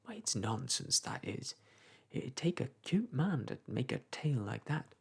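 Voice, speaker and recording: A man's voice: medium pitch, big pitch swings, a little slow, creaky, slightly rough, exaggerated voice. A man doing a rambling old village clerk. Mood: rambling advice. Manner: rambling, folksy, lecturing. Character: garrulous old male village parish clerk.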